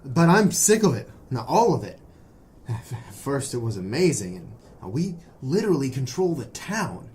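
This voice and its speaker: An actor playing an earnest, nervous young man, hesitant and excitable, in a noir audio drama. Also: mid-range voice, moderate pace, slight background noise, natural voice. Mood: earnest, agitated. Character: earnest, nervous young male defector from secret brotherhood.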